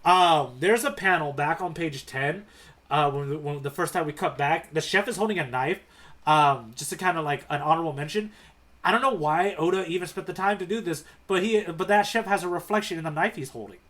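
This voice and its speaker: A man's voice: on the high side, a little fast, fairly bright tone. A man co-hosting a podcast, talking casually and enthusiastically about comics. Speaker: podcast co-host. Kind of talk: casual manga discussion chat.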